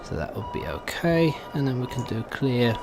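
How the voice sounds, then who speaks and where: A man's voice: medium pitch, very animated, normal speed, over music. A man giving casual let's play commentary, thinking aloud in a hesitant way as he goes. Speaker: gaming video host.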